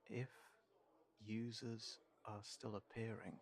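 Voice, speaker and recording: A man playing a cautious, protective fantasy character in an intimate audio roleplay, speaking haltingly and thoughtfully as if to one companion. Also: fairly low pitch, flat delivery, creaky. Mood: worried, hesitant. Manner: hesitant, halting, thoughtful, trailing off.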